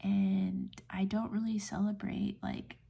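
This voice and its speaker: An American woman in her late twenties rambling in a soft-spoken, whispery, breathy ASMR style, gentle and unhurried. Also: medium pitch, level tone. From United States. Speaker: ASMR video creator.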